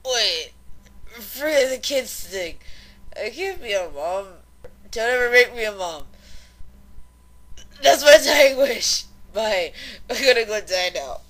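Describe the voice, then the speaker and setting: A woman's voice: a little high, expressive, takes it slowly, long pauses, a bit bright, poor audio. A woman playing a drunk, lovesick young mare disc jockey who slurs and rambles melodramatically in a fan fiction audio drama. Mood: melodramatic. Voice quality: slurring.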